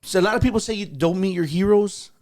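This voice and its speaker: A man's voice: high voice, quick pace, darkish tone. A man telling stories casually as an interview guest, enthusiastic and emphatic. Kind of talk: casual storytelling chat.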